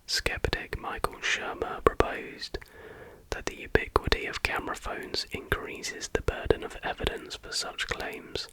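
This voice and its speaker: A man reading aloud in a soft, breathy, unvoiced whisper as an informal ASMR host. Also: a little slow, poor audio.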